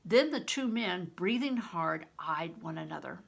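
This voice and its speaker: An older woman reading a children's novel aloud as a storyteller, in expressive, deliberate audiobook narration. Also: on the low side, creaky, husky.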